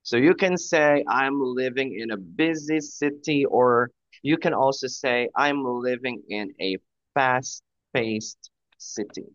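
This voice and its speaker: A man's voice: medium pitch, slow, fairly bright tone, no echo. A male English tutor speaks patiently over a video call, feeding his student example phrases and encouragement. The audio is dull and lo-fi, with a dry, close sound. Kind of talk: one-on-one conversation lesson.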